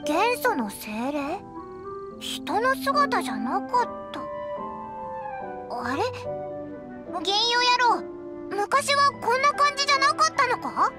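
A woman's voice: very high voice, big pitch swings, slow, long pauses, loud music behind the voice, heavily acted. A woman voicing a tiny, childlike fairy companion in an anime game, bossy, impatient and bluntly spoken. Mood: curious, surprised. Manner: brash, blunt, rough childish speech. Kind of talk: anime video game voice acting.

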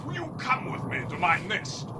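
An actor playing a boisterous, proud winged war leader of falcon men, hearty and declamatory in a radio serial. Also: male voice, high-pitched, big pitch swings, normal speed. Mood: lordly. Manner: hearty, declamatory, grandly exclaiming. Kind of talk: old-time radio serial acting. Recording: very noisy radio drama effects mix.

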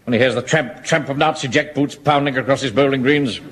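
An actor playing a pompous, self-important middle-aged home guard captain in a radio sitcom. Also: male voice, mid-range voice, a little fast, close to an everyday voice. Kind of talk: 1970s radio sitcom acting. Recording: old radio recording with faint hiss.

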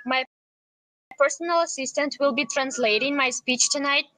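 Bright, high-pitched female interpreter. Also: moderate pace. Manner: translates phrase by phrase, sometimes loosely. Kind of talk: consecutive interpreting into English.

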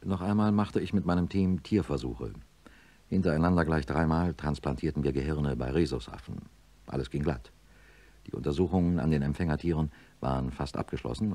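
Very deep, husky male surgeon narrating. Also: mellow, no echo. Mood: sober. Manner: measured, matter-of-fact. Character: sober male neurosurgeon professor recounting his experiment. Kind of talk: first-person radio drama narration.

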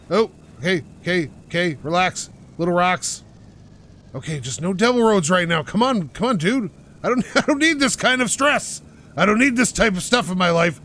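A man talking over his own driving game playthrough with a slightly raised pitch. He pushes his voice out so it carries, which makes it sound a bit aggressive. He rambles casually and jokes at his own expense. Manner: pushes his voice out loud and energetic, rambling and self-mocking. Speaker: gaming channel host. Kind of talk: casual let's-play commentary. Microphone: home microphone while playing on a couch.

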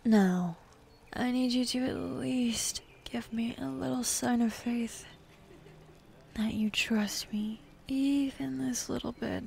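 A young woman voicing a bossy, teasing demon woman in an intimate, half-whispered ASMR roleplay, with a husky, breathy voice and a sardonic drawl. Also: mid-range voice, warm tone, slight background noise. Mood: bossy, menacing.

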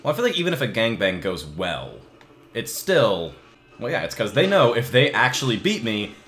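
Lively, crude joking male gamer. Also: fairly low pitch, normal speed, soft background music. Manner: crude, swearing, joking banter. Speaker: let's play host. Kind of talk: casual gameplay commentary. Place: hot indoor room.

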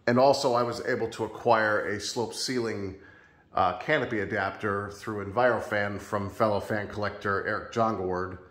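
Congested man with a lowish voice. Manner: relaxed, rambling, friendly enthusiasm. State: congested from a sinus infection, a bit nasal. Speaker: ceiling fan collector vlogger. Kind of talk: casual show-and-tell vlog. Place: sunroom at home, indoors.

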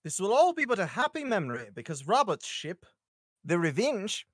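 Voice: medium pitch, sing-song, moderate pace, no room sound, clean studio sound. A performer at an amateur table read voicing a jaunty, nonchalant young adventurer hero in a breezy, theatrical way. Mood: airy explaining.

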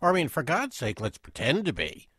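An actor playing a wry, self-deprecating intellectual who rambles hesitantly through a radio play monologue. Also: male voice, fairly high pitch, wildly swooping pitch, a little fast, bright, forceful tone, slightly rough, no room sound, clean studio sound, exaggerated voice. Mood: pedantic, amused. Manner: hesitant, digressive, chuckling asides. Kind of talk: conversational dramatic monologue.

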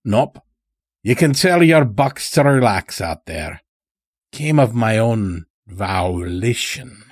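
A man's voice: on the low side, expressive, takes it slowly, no echo, studio quality, heavily acted. A man voicing a sly, weary old stranger in an audio story, with a folksy, rambling way of talking. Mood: wry, casual. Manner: folksy, rambling, dropped words.

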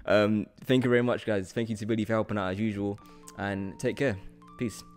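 Young man, lowish voice, podcast host, effusive, informal, repetitive emphasis, close mic. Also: steady tone, fairly quick, faint music behind. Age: 20s. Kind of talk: casual podcast chat. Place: room indoors with large window. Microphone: podcast microphone on boom arm, close.

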